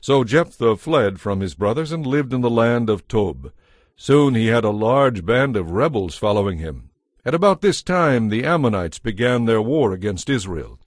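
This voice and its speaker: A man narrating a dramatized scripture audiobook from a script, in a measured, formal manner. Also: mid-range voice, sing-song, dry recording, clean studio sound.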